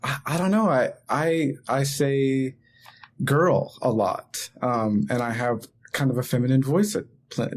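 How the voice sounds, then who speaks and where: A man from Texas and Tennessee who is a guest on an interview, talking in an animated, candid way with easy laughter. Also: medium pitch, a little hiss or hum. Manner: animated, candid, laughs easily, many fillers. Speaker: guest, photographic artist. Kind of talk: casual interview talk.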